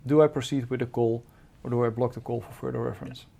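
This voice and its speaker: A man's voice: medium pitch, flat delivery, fast, creaky, mellow, slightly rough. A man co-presenting, giving a conversational technical explanation.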